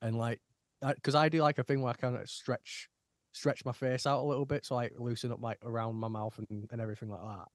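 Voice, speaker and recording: Lively man recounting stories. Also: mid-range voice, fast talker, no echo, clean studio sound. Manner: animated storytelling, acts out his own past remarks. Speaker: podcast host. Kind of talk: casual chat.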